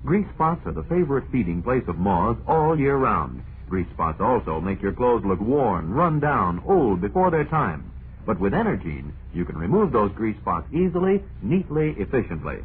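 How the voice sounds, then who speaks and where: A man's voice: on the low side, very animated, normal speed. A man reading a 1940s radio advertisement as a commercial announcer. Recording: tinny, noisy old radio broadcast.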